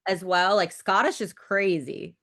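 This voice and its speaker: A woman's voice: medium pitch, unhurried, a bit bright. A woman in her thirties, a director guest in a casual interview, talking in a rambling, loose way, full of "like" and restarts, and swearing frankly.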